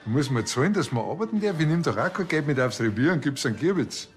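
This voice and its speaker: An actor playing a cheeky, slightly naive police officer with a Bavarian accent in a TV crime comedy. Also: male voice, on the high side, animated, fast, soft background music, natural voice. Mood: cheeky, surprised.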